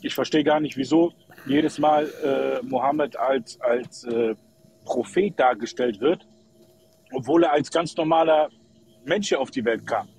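A man's voice: mid-range voice, a little slow, darkish tone, slight background noise, rough recording. A man from Kosovo calling into a livestream, chatting in an opinionated, colloquial way and repeating himself for emphasis. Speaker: live call-in guest. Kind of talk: casual opinionated chat.

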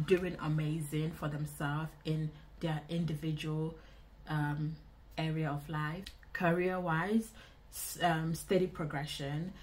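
A woman in her twenties with a Nigerian accent, chatting casually to camera in an indoor vlog, expressive and repeating phrases for emphasis. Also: low-pitched, rough recording. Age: 20s. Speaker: vlogger. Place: small indoor room.

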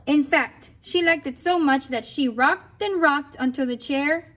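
A woman with a very high voice reads a picture book aloud for children. She tells it expressively and drops in short asides. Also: measured pace. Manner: animated storytelling with brief asides. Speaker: storybook reader.

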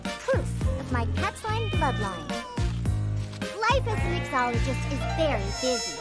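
A woman's voice: high voice, very animated, a little slow, loud music behind the voice. A woman voicing a haughty young cat-girl bartender, proud and curt, in a video game. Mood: proud, brusque. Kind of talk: video game character acting.

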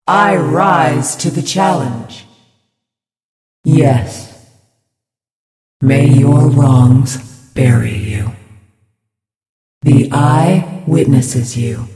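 A woman playing a serene, devout female spirit healer in a video game, speaking in a measured, ceremonious, pious way. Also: very deep voice, darkish tone, recorded from a distance, exaggerated voice. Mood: solemn, judging. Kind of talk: video game character voice lines. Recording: reverberant hall effect, music behind.

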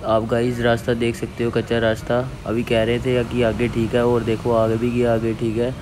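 A man's voice: medium pitch, completely flat delivery, moderate pace. A young man giving casual travel vlog commentary, pointing out the surroundings to viewers. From Jammu, India. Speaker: vlogger. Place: outdoors, on motorbike and hillside trail. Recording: noisy outdoor motorbike and trail recording.

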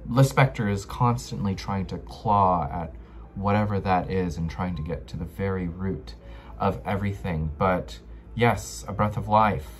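A man's voice: low-pitched, measured pace. A young American man chatting casually to camera as a book vlogger, slangy and given to dramatic emphasis. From Los Angeles, United States. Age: young adult. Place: small bedroom, indoors.

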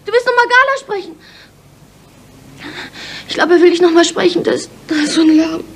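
An actress playing a frightened young woman held hostage in a 1970s radio crime drama, tense and feigning calm. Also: very high voice, brisk, heavily acted. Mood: anxious.

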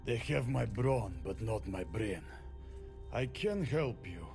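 A man voicing a huge, stoic, brawny ally in a video game cutscene, speaking in a measured, formal way. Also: on the low side, expressive, gravelly, a little mellow, soft background music, exaggerated voice. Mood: calm, persuasive.

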